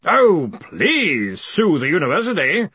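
A man voicing a hearty, booming head wizard of a university in an audiobook. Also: big pitch swings, bright, forceful tone, slightly rough, no room sound, heavily acted. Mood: bellowing relish. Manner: booming, brisk. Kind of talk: audiobook character acting.

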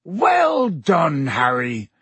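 A man voicing a big, hearty, kindly gamekeeper who teaches in booming, rustic, colloquial speech for an audiobook. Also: very animated, slow, husky, dry recording, full character voice. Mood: delighted, encouraging.

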